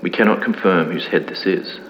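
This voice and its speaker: Deep, echoing lo-fi actor as archival documentary narrator. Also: male voice, natural voice. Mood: solemn, grave. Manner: formal, measured, grave. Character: solemn male 1950s archival documentary narrator reporting a mystery. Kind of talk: scripted mock-documentary narration for analog horror. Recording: dull lo-fi, long reverb, clear background noise.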